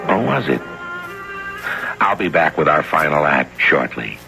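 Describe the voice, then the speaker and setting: Host-narrator for vintage radio play: middle-aged man, extremely deep voice, measured storytelling, prominent music bed. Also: big pitch swings, vocal fry. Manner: measured, rhetorical, suspense-building. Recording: old radio broadcast, music underneath.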